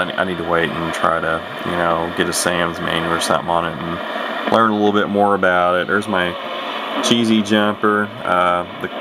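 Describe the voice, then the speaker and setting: A man giving a casual, unscripted hobby demonstration, rambling and hesitant, with a self-deprecating way of talking, recorded on a camera microphone. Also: low-pitched. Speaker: vintage radio hobbyist presenting his own video. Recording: clearly noisy home camera recording. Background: clear background noise.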